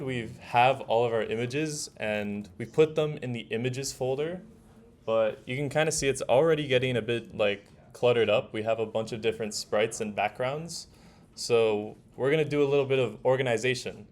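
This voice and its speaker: A young man presenting a casual software tutorial, talking informally as he demonstrates, with keyboard typing. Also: medium pitch. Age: young adult. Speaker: workshop presenter. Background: faint room noise, keyboard typing.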